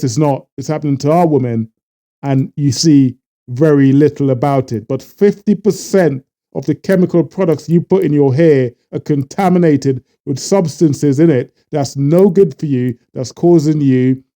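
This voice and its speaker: A man from Trinidad, talking earnestly to camera and halting often with fillers as a vlogger. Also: on the high side, dark tone. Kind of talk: casual talk to camera.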